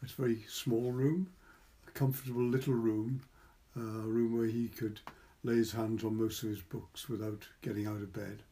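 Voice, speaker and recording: A man's voice: fairly low pitch, flat delivery, moderate pace, warm tone. A man with a Welsh accent, a curator talking informally and reading a literary short story aloud. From Wales.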